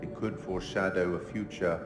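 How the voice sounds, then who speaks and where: A man playing an enigmatic, stately curator of dark tales in a video game, speaking in a measured, formal, theatrical way. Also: deep voice, fairly even intonation, close to an everyday voice. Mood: coolly cautionary. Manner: measured, formal, ominous, deliberate. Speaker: narrator addressing the player. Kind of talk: theatrical scripted game narration. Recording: echoey hall reverb, music behind.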